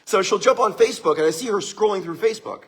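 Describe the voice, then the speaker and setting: Upbeat middle-aged male motivational speaker, husky and fairly high for a man, live-stream feel. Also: lively intonation, quick pace. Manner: upbeat, greets viewers by name, laughs often.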